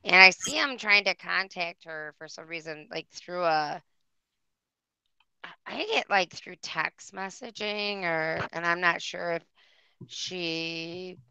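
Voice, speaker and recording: Woman, hoarse, psychic tarot reader, rambling, bright forceful tone, dry close sound. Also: fairly low pitch, slow, no room sound, poor audio. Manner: rambling, searching for words with many "like"s. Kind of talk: live tarot reading on air.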